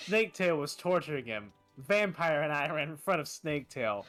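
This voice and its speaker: Bright, animated, theatrical fan fiction reader. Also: mid-range voice, moderate pace, soft background music. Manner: theatrical, amused, often breaking into laughter. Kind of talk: comedic reading aloud of fan fiction with asides.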